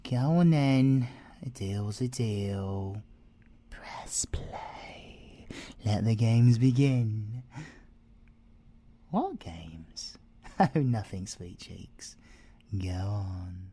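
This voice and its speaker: A British man playing a cocky, flirtatious male siren in an ASMR audio roleplay, breathy and teasing, often slipping into whispers. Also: on the low side, extremely slow, pauses often, dark tone. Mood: playful, sarcastic. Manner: teasing, theatrical, drops into whispers.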